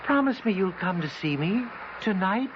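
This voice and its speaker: A man playing the gentle, wistful, insistent ghost of a shopkeeper in a radio drama, polite and plaintive. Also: high-pitched, animated, moderate pace. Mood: wistful, coaxing. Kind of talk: old-time radio drama acting. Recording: noisy lo-fi radio recording.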